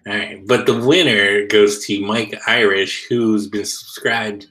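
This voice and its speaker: A man's voice: on the low side, steady tone, unhurried, a bit bright, low-quality recording. A man co-hosting a show over a video call, bantering casually and reading out comments. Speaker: show co-host.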